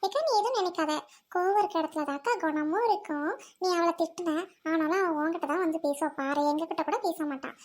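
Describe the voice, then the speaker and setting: A loyal young village girl played by a woman in a village drama. Her voice is extremely high, and she talks as a kind-hearted peacemaker, reasoning and pleading in everyday speech. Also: brisk. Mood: soothing, reasoning. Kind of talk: village comedy-drama acting.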